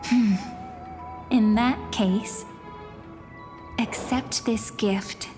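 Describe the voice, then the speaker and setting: A woman playing a serene, mystical young oracle figure in a fantasy video game, with gentle, ceremonial phrasing. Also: a little high, steady tone, very slow. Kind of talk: English video game voice acting. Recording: long hall reverb under music.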